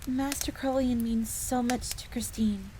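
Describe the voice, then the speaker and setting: A woman's voice: fairly high pitch, fairly even intonation, unhurried, mellow, slight background noise, natural voice, poor audio. A woman playing a devoted, easily flustered young bunny maid in a roleplay audio drama, speaking of herself in the third person. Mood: wistful, adoring.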